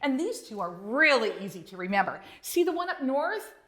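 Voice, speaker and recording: Animated high-voiced woman reading a storybook. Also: normal speed. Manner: animated, addressing young listeners directly. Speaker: author reading own children's book. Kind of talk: expressive storybook narration.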